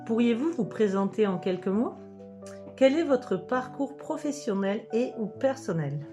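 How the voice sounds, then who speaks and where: A woman's voice: a little high, animated, a little slow, over music. A woman reading interview questions.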